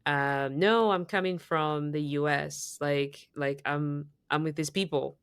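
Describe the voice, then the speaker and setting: A woman from Zambia tells a long story in a low, deep voice, full of "like" and false starts. The sound is dry and close, with no room around it. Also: fairly even intonation, takes it slowly, clean tone, no echo. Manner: chatty storytelling, many fillers and restarts. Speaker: podcast co-host. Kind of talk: casual podcast conversation.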